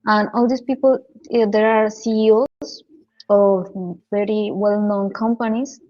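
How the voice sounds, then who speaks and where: A woman's voice: mid-range voice, flat delivery, unhurried, a little mellow, no echo, muffled recording. A woman with a Colombian accent answering interview questions, speaking hesitantly with many fillers and restarts. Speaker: guest, physicist and data scientist.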